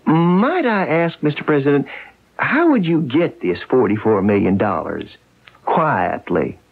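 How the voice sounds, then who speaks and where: A man's voice: medium pitch, sing-song, unhurried, gravelly, husky, exaggerated voice. An actor playing a cocky, stubborn man holding out for more in a radio drama. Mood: wary, amazed. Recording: tinny old radio broadcast, faint music.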